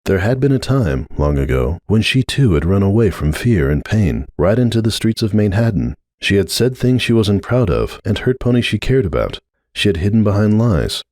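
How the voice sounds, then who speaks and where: A male narrator giving a dramatic reading of a story. His voice is on the low side with highly varied intonation, recorded very dry. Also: sing-song, normal speed, a little mellow, no echo. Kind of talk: dramatic audiobook narration of fan fiction.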